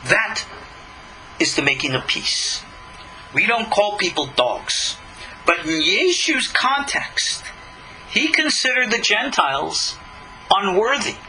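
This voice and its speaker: A man's voice: sits high, big pitch swings, takes it slowly, long pauses, sharp tone, a little hiss or hum, low-quality recording. A man giving a religious lecture as a rabbi, reading scripture and commenting in an emphatic, argumentative way with rhetorical questions. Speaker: rabbi teaching a class.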